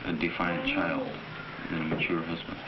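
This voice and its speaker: A man's voice: low voice, monotone, moderate pace. A man, a father in family conversation, recorded for a documentary-style film. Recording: muffled, noisy old educational film soundtrack.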